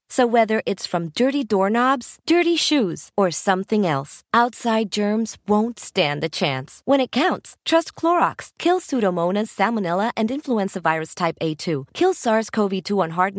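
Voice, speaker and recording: A woman's voice: medium pitch, no echo, clean studio sound. A woman reading a scripted commercial in an upbeat, reassuring way. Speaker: ad voice-over.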